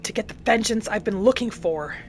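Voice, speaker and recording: A woman's voice: mid-range voice, expressive, a little fast. Earnest woman roleplaying over music. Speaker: gameplay roleplayer. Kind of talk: in-character roleplay commentary. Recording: game music behind the voice. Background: game music.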